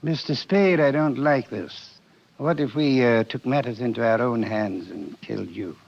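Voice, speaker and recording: An actor playing a genteel, wordy older crime boss in a 1940s radio drama, courtly and jovially menacing. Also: male voice, medium pitch, normal speed, gravelly, darkish tone. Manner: florid, courtly, chuckling politeness. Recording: muffled 1940s radio broadcast.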